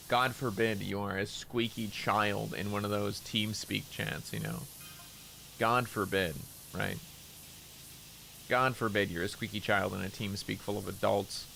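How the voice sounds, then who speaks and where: A young man streaming who chats casually about himself, hesitant and rambling with many fillers, deliberately lowering his voice. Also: creaky, a bit bright, slight background noise, poor audio. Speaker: VTuber streamer. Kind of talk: casual stream chat while gaming.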